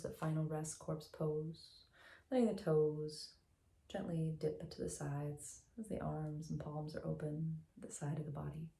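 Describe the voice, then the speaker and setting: Woman, meditation teacher, mellow dark tone, soothing, slow guided instructions, roomy echo. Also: deep voice, creaky. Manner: gentle, soothing, unhurried with long pauses.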